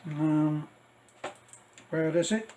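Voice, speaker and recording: A middle-aged man giving a casual, rambling unboxing commentary, halting and thinking aloud as he goes. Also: on the high side, dark tone, slight background noise. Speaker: vlogger.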